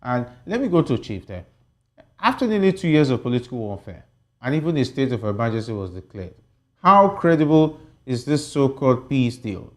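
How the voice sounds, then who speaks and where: A man's voice: medium pitch, sing-song, moderate pace. Male TV news host, mid-range voice, presenting and quizzing panellists. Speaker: TV host and interviewer.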